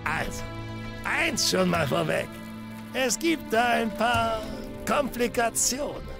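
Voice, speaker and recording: A man's voice: extremely high pitch, animated, takes it slowly, heavily acted. A man playing a wary, businesslike contact handing over goods in a game. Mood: guarded. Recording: music clearly under dialogue.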